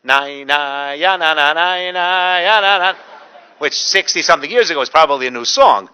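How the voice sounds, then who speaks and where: A man's voice: on the high side, normal speed, clean tone. A middle-aged man, a rabbi giving a public talk, telling stories with dry humor and mimicking the people in them. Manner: animated, mimics voices in anecdotes. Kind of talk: storytelling lecture with dry humor.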